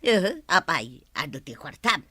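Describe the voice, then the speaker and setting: A woman's voice: deep voice, big pitch swings, a little slow, bright, forceful tone, breathy edge, heavily acted. A woman with an Ilocano accent playing a bossy, money-minded village wife in a comedy radio drama, scolding and ordering. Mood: boastful.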